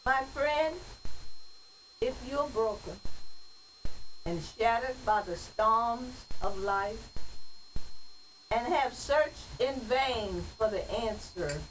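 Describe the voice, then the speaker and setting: An older woman delivers devotional words like a poem. Her pace is slow and deliberate, each phrase set apart. She sounds somewhat far from the mic, with faint background noise. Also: on the high side, expressive. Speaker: church mother, guest reader. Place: small wood-paneled indoor room. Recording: distant pickup with faint noise.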